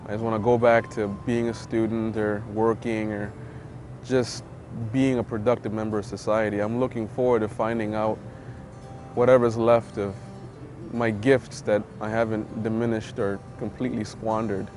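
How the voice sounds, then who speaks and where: A man's voice: fairly low pitch, little pitch movement, moderate pace, a little mellow, faint music behind. A man in recovery speaks reflectively and hopefully about his future. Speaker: program client. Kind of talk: testimonial interview.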